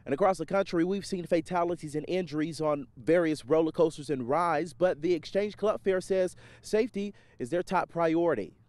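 A man with a somewhat high voice works as a TV reporter. He reads a scripted news voice-over. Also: normal speed, few pauses, darkish tone.

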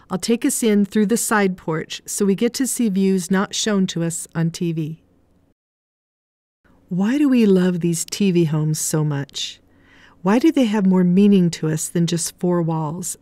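A woman's voice: fairly low pitch, unhurried, mellow, no room sound. A woman reading scripted narration as the guide of a house tour video.

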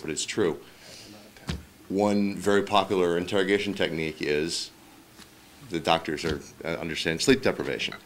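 A middle-aged man giving public comment at a school board meeting from a podium microphone in a large meeting room, measured with a light wry touch. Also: deep voice, fairly bright tone, a little hiss or hum.

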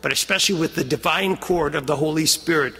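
A middle-aged American man preaches a homily in a high, husky voice. He is earnest and emphatic, stretching key phrases for weight. His words ring with long reverb from a chapel pulpit microphone. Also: fast talker, bright, forceful tone. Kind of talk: homily, telling stories and exhorting. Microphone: gooseneck lectern microphone.

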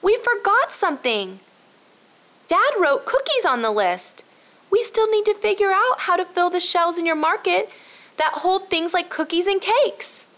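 Woman putting on an excited, high-pitched storybook boy. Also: measured pace, exaggerated voice. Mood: alarmed, urgent. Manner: exclaiming, enthusiastic. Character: excited, eager young boy on a food adventure. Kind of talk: children's storybook read-aloud.

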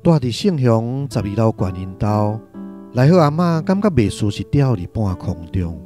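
A man's voice: medium pitch, sing-song, moderate pace, over music, lightly acted. A man with a Taiwanese accent narrating a literary radio drama from a script.

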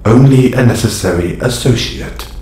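A man playing a calm, formal robot superhero with quiet menace, speaking in stiff, precise phrases in an audio roleplay. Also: medium pitch, moderate pace, close to an everyday voice. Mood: formal, guarded. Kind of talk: scripted audio roleplay acting. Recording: echoey, faint background ambient noise.

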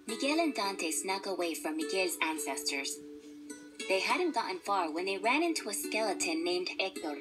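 A woman's voice: medium pitch, big pitch swings, normal speed. A woman narrating a children's story from a script, as a read-along storybook narrator. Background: instrumental music.

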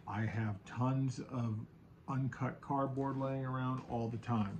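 Gravelly man explaining casually, noisy room. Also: mid-range voice, a little mellow. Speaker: video host, online seller. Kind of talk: casual how-to talk to camera. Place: small indoor home office with bookshelves. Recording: clear background room noise.

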